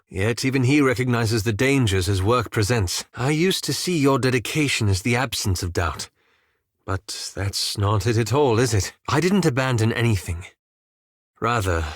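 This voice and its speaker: A man playing a courteous, reserved elven wizard in a fantasy game, with formal, articulate and measured delivery. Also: lowish voice, no echo, clean studio sound, close to an everyday voice. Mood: serious, skeptical. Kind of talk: scripted fantasy game dialogue.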